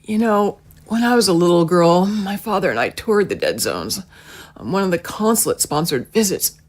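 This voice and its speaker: A woman's voice: lowish voice, animated, moderate pace. An ailing, loving mother, swooping voice. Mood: reflective, ailing. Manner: weak, breathy sighs and coughing fits. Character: gravely ill, gentle, loving mother. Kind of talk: audio drama acting.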